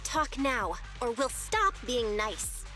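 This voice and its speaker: A woman's voice: fairly high pitch, very animated, slow, pushed and bright, faint music behind, full character voice. A woman voicing a stern, suspicious young woman fighter in an anime game, curt and demanding. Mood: threatening. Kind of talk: dubbed anime game voice acting.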